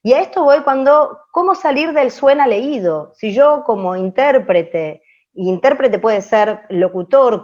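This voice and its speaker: A woman's voice: fairly high pitch, darkish tone, muffled recording. A woman explaining in an open class as a teacher, reflective and emphatic, repeating key phrases for stress. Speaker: radio language teacher.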